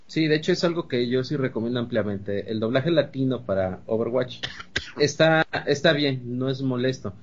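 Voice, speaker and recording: Man, calm explainer on a gaming panel, muffled lo-fi sound. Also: medium pitch, moderate pace, slight background noise. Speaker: podcast panelist. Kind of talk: casual gaming chat.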